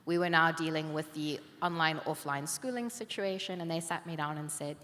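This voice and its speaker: A woman teacher speaking on a panel, telling a personal story in a reflective, measured way. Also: sits low, a bit bright, a little hiss or hum. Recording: long reverb of a conference hall.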